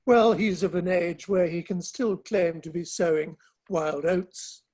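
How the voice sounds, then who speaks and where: A woman playing a curious, sensible Victorian confidante in a play reading, polite, measured and gently probing. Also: fairly low pitch, breathy edge. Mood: surprised, arch. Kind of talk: online dramatic play reading.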